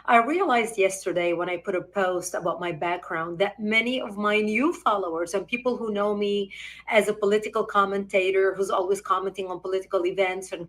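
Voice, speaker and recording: Casting: woman commentator, mid-pitched, composed and earnest to camera. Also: fairly bright tone. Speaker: political commentator. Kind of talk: direct-to-camera personal address.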